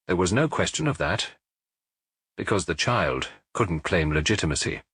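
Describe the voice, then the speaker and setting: Distraught middle-aged French industrialist, male reader acting, proud and defensive, wheezy, husky delivery for detective audiobook. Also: deep voice, very animated, fairly bright tone, no room sound, studio quality, exaggerated voice. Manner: halting, defensive answers.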